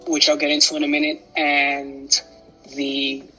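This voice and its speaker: Bright-voiced Dominican New York man. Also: fairly high pitch, monotone, measured pace, breathy edge, dull audio, soft background music. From New York, Upper Manhattan. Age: young adult. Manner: playful, upbeat and joking. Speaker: interview guest. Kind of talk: casual interview chat.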